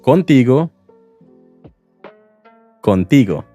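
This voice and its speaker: A man with a London accent, hosting a Spanish lesson and speaking clearly, at a teaching pace. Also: medium pitch, sing-song, warm tone, lo-fi sound, soft background music. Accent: London English. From England. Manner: friendly, clear, teaching pace with repeat-after-me pauses. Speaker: language course host. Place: outdoors in a public garden.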